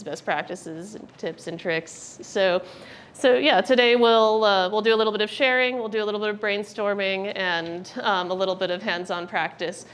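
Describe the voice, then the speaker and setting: A young American woman informally introducing a workshop to a live group, chatty, friendly and a little hesitant. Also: medium pitch. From United States. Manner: hesitant, frequent ums and pauses, chatty and friendly. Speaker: workshop presenter, research director. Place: indoor workshop room with an audience. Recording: live room with clear background noise.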